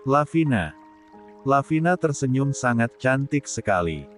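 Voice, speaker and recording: A man's voice: medium pitch, normal speed. A man reads a story aloud as a scripted, dramatic narration. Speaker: audiobook narrator. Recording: music bed behind the voice.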